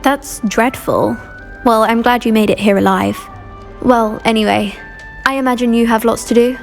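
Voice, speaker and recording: A woman playing a polite, gentle, slightly anxious teenage schoolgirl in a video game. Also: fairly high pitch, steady tone, normal speed, gravelly, soft background music. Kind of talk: video game character dialogue.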